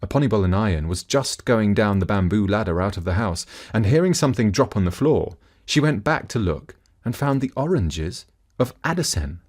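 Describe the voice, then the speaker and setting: Male storyteller, lowish voice with lively, wide intonation, reading a children's fairy tale. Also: moderate pace.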